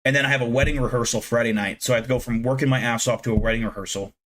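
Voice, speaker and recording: Thirties male streamer, loose profane chatter, mid-range pitch, self-deprecating. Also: steady tone, rapid-fire, a bit bright, low-quality recording. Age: 30s. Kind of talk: casual live-stream chat with viewers.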